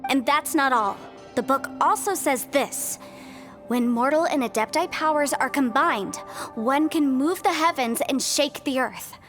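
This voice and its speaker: A woman's voice: sits high, unhurried, a bit bright, faint music behind. A woman playing an earnest, determined young half-immortal heroine in a video game, explaining and encouraging. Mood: thoughtful, explaining. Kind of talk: video game story acting.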